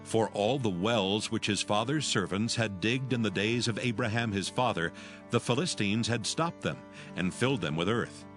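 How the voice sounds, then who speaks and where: A man narrating scripture from a script in a formal, measured manner. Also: fairly low pitch, a bit bright. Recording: music behind. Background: music.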